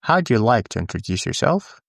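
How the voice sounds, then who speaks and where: A man who is a native Arabic speaker, teaching English in a scripted, deliberate, instructional lesson style. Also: on the low side, big pitch swings, creaky, husky, no room sound, clean studio sound. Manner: deliberate, instructional, with pauses for the learner. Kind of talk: scripted language lesson, repeat-after-me drills.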